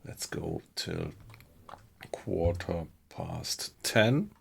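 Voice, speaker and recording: A man with a German accent chatting casually with viewers while playing a game, in a relaxed, hesitant way. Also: low voice, very slow, creaky. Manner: relaxed, hesitant, often chuckling. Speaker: game streamer.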